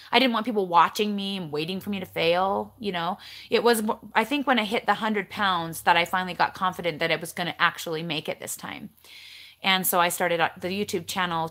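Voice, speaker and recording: A woman in her forties with a raspy voice, chatting casually to the camera as she answers viewer comments and rants a little, recorded up close in her bedroom. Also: medium pitch. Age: 40s. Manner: animated, ranty. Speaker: vlogger. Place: bedroom at home, indoors. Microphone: camera close in front.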